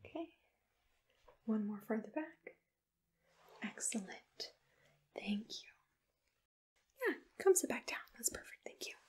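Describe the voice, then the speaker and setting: A woman speaks in a very breathy whisper, unhurried and gentle. She plays a reassuring host in an ASMR roleplay, giving soft, attentive personal attention. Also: on the high side, animated.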